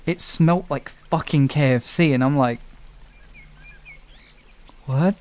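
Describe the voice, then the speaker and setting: A man's voice: on the high side, takes it slowly. A man with a British accent hosting a livestream, chatting casually and rambling informally with some swearing. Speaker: livestream host. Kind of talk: casual chat with stream viewers.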